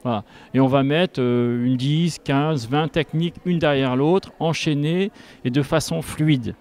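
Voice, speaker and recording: A man in his fifties speaking as an instructor in an interview, explaining step by step and haltingly into a handheld microphone. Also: fairly high pitch, a little mellow. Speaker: tai chi instructor. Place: large school gymnasium with a group behind. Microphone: handheld reporter microphone.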